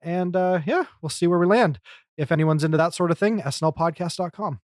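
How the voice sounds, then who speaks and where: A man's voice: a little high, fairly quick, a little mellow, no echo, studio quality. A man co-hosting a podcast, talking in a casual, conversational style. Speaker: podcast co-host. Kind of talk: casual chat.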